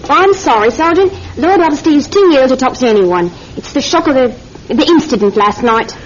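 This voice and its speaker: A woman's voice: high-pitched, expressive, fairly quick, over music. A woman playing a proper, protective hospital nurse in a classic radio drama, speaking in an apologetic, formal manner. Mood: anxious, protective. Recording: muffled old radio recording with faint hiss.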